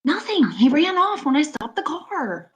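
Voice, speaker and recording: A woman's voice: high voice, very animated, unhurried. A woman playing a haunted, anxious motorist in an amateur radio play, narrating her journey in an earnest, dramatic way. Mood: frightened, pleading. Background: prominent music.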